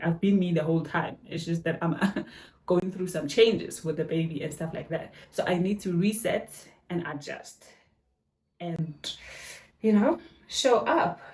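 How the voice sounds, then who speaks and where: A woman's voice: fairly low pitch, darkish tone. A woman in her thirties chatting casually to her viewers while getting ready in her bathroom, calling them "guys" and dropping slangy asides. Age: 30s. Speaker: vlogger. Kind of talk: casual vlog chat. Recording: echoey bathroom, faint music behind.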